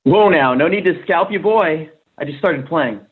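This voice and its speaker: An actor playing a flippant, eager newcomer to a video game in a comedy table read. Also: male voice, a little high, lively intonation, moderate pace, slightly rough. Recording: tinny phone-like call audio.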